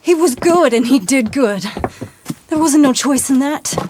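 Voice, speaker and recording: A woman's voice: high voice, lively intonation, a little slow, noisy background. An actress playing a righteous American frontier widow, bitter and accusing in her grief, in a game cutscene. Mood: grieving, accusing. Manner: accusing, pious, embittered. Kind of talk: dramatic game cutscene acting.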